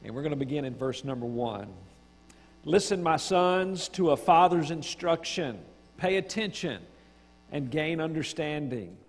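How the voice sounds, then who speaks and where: A middle-aged man, a pastor, reading scripture and praying in public with solemn, deliberate phrasing. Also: a little high, lively intonation, faint music behind. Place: large church sanctuary, in front of congregation. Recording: echoey church hall, long reverb.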